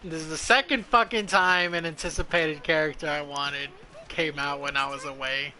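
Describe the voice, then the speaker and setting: A man doing casual live commentary as a game streamer, with playful, sweary, excitable asides. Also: fairly high pitch, moderate pace.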